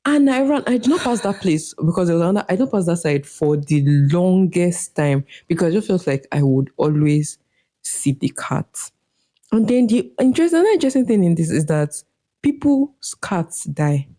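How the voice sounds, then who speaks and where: A Nigerian woman co-hosting a podcast, telling stories in a lively, casual way with an accent from Nigeria and big jumps in pitch when she quotes people. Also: normal speed. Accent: Nigerian English. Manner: animated storyteller, pitch jumps when quoting. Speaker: podcast co-host. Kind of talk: casual chat.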